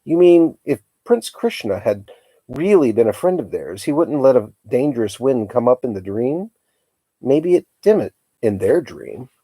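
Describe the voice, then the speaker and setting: A man's voice: medium pitch, big pitch swings, a little slow, mellow, no room sound, voice pushed up. A man reading the part of a thoughtful, brainy young girl in a storybook, reasoning things out aloud. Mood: wondering.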